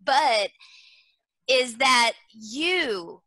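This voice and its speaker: Woman with a Texas drawl, bright and forceful, inspirational interview guest. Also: fairly high pitch, animated, extremely slow, no echo. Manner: earnest, motivational, repeats key phrases. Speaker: guest speaker on leadership. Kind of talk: conversational interview.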